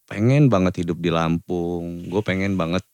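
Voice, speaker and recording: A man's voice: deep voice, a little mellow, dry recording. A man hosting a podcast in casual chat, teasing his guest and laughing often. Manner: laughs often, teasing, quick back-and-forth. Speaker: podcast host.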